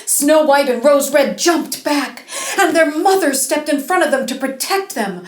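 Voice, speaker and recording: A woman narrates a fairy tale with theatrical emphasis. Her voice sits fairly high and she takes her time, stretching key words. The room gives a noticeable echo. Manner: dramatic, drawn-out emphasis, animated. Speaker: storyteller. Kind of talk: expressive fairy-tale storytelling for children. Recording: roomy, echoing space.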